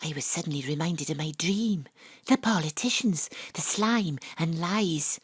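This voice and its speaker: A woman's voice: sits low, a little slow, slightly rough. A woman playing a wry, principled young detective with a Welsh accent, narrating and acting in an audio drama. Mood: confiding, wry.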